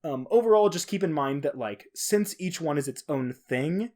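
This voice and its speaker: A man's voice: fairly high pitch, expressive, normal speed, a little mellow. A man hosting a podcast alone, talking casually in an informal, enthusiastic manner. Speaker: podcast host. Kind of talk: casual solo podcast talk.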